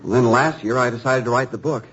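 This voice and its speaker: A man's voice: medium pitch, steady tone, fast talker, fairly bright tone, a little hiss or hum, natural voice. An actor playing an eager, humble young male author in a 1950s radio drama, earnestly stammering as he speaks. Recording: dull lo-fi old radio broadcast.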